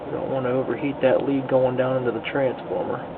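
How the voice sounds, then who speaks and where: A man's voice: mid-range voice, level tone, a little fast. A folksy, plain-spoken man giving a casual hands-on tutorial at a home workbench, picked up by the camera's microphone. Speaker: hobbyist radio restorer giving a demonstration. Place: indoor home workshop bench. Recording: muffled phone-like audio, loud room hum.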